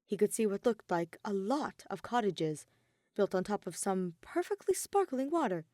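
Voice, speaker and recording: A woman's voice: medium pitch, very animated, normal speed, warm tone, no echo, clean studio sound. A woman reading scripted fan fiction as its narrator.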